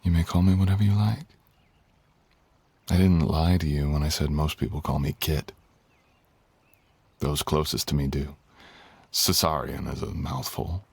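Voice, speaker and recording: A man's voice: extremely low pitch, fairly even intonation, a little slow, vocal fry, long pauses, darkish tone, husky, dry recording, exaggerated voice. A man playing a courtly, teasing, tender dragon king in human form, speaking intimately as a lover in an ASMR roleplay. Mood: tender reassurance. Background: music.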